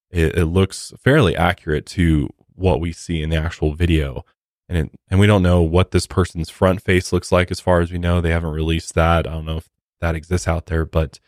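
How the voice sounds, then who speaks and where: A deep-voiced guy you'd hear chatting over a case on a crime podcast, in a tightly damped booth. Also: no echo, studio quality. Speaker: podcast co-host. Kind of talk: casual true-crime discussion.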